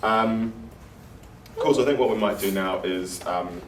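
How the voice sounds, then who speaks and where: A man opening a community workshop in a relaxed, casual way, with many fillers and frequent chuckles. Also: lowish voice, unhurried, a crowd in the background. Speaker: workshop organiser. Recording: roomy, distant, noisy room.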